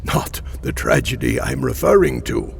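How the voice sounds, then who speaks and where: A man playing an ancient, weary and stubborn male sage, speaking slowly and dryly in a gravelly voice. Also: medium pitch, wildly swooping pitch, a crowd in the background, exaggerated voice. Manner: slow, deliberate and dry. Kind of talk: audio drama acting.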